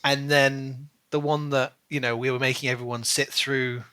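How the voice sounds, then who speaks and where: A man's voice: mid-range voice, flat delivery, moderate pace, sharp tone, clean tone, no room sound. A man co-hosting a casual book discussion podcast, talking in a rambling way and often rephrasing himself. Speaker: podcast co-host.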